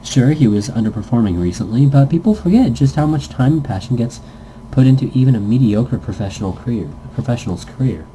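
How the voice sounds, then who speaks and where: A man's voice: mid-range voice, animated, brisk, dark tone, slight background noise, low-quality recording. A man casually reading a visual novel script aloud as narration, stumbling now and then and correcting himself. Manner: stumbles over words, corrects himself, jokey asides.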